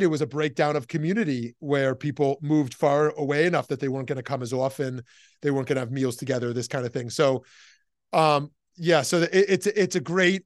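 A man with a fairly high-pitched voice leads an informal class discussion. He speaks haltingly, doubling back on words and phrases as he responds. The sound is dry, with little room echo. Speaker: rabbi teaching and moderating a class.

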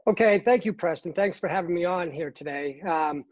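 A man in his fifties with a Boston accent, a guest in a casual interview, talking earnestly with many pauses and fillers. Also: high-pitched, no echo, muffled recording. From Boston, Massachusetts. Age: 50s. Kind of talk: casual interview over a call.

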